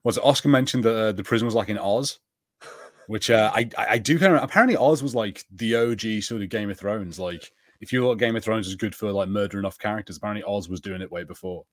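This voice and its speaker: A man's voice: fairly low pitch, fast talker, dry recording. A man co-hosting a podcast, chatting casually about TV and tentatively thinking aloud. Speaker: podcast co-host. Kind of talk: casual TV review chat.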